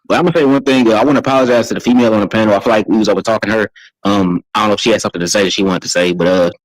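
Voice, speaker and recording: A man's voice: lowish voice, no room sound, low-quality recording. A man in his thirties from Texas, talking casually and rambling as a guest on an online panel. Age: 30s. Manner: fast, rambling, repeats himself. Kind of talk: casual podcast chat.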